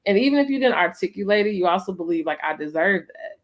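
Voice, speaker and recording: A woman's voice: medium pitch, lively intonation, fast. A middle-aged American woman hosting a casual, reflective conversation, speaking emphatically and often checking in with her listener. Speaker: host of a family storytime conversation.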